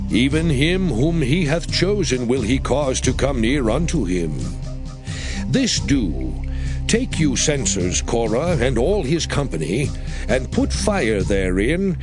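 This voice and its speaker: A man playing a solemn, authoritative prophet and leader, emphatic and declamatory, in a dramatized scripture reading. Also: mid-range voice.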